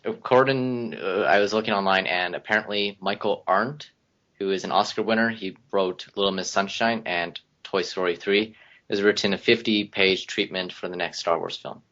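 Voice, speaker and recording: Guy reading out news on a podcast, lowish forceful voice, kinda halting, muffled audio. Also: fairly even intonation. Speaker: podcast panelist presenting news. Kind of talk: casual group podcast chat. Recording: dull lo-fi online audio.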